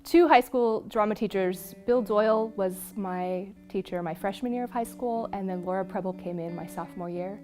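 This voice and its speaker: A woman's voice: mid-range voice, normal speed, few pauses, a little mellow. A woman who teaches theatre, talking reflectively in a documentary interview. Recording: prominent guitar music behind the voice. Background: guitar music.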